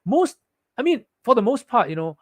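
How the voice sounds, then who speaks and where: High-voiced man with a Singaporean accent. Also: very animated, moderate pace, no echo. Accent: Singaporean English. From Philippines. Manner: indignant, heated, with frequent restarts. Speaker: vlogger. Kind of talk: ranting monologue to camera.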